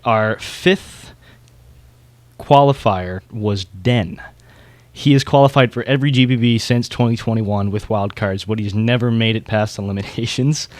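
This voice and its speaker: Male podcast co-host, low register, close dry sound, relaxed hobbyist discussion. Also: unhurried, no room sound.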